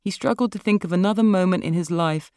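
A woman's voice: lowish voice, fast, a little mellow, clean tone, no echo, clean studio sound. A woman author reading her own literary fiction as narrator.

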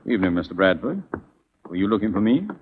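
An actor playing a cool, courtly military captain and secret agent in a 1940s radio western, formal and commanding in manner. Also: male voice, deep voice, big pitch swings, quick pace, slight background noise. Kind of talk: 1940s radio drama acting. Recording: muffled old 1940s radio broadcast.

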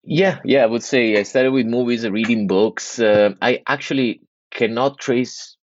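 A man's voice: medium pitch, normal speed, no echo, lo-fi sound. A man in his thirties from Italy, with an Italian accent, speaking English as a second language as a podcast guest, talking casually with many restarts and fillers. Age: 30s. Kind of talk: casual interview conversation.

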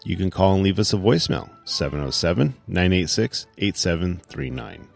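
A man's voice: low-pitched, expressive, moderate pace, creaky, soft background music. A man announcing a scripted podcast outro.

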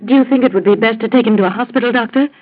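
A woman plays a devoted wife who keeps soothing her ailing husband, soft and breathy. The voice comes through a thin, phone-like old radio recording. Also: fairly high pitch, very fast, natural voice. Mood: gentle. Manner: soothing, pleading. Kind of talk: 1940s radio drama acting.

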